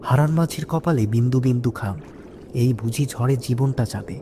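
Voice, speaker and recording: A man's voice: medium pitch, fairly quick, noisy background. A man narrating a scripted horror story as the storyteller. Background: music.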